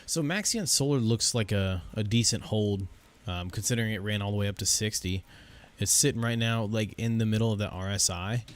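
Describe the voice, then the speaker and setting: A man's voice: lowish voice, fairly quick, soft background music. A man in his thirties on a casual livestream, thinking aloud as he reads charts. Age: 30s. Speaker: co-host. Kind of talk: casual livestream stock talk.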